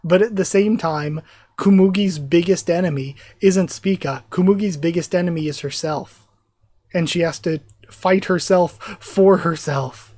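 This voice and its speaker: A YouTube reactor losing their voice, chatting excitedly in a live reaction while reading a manga aloud. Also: medium pitch, unhurried, no room sound.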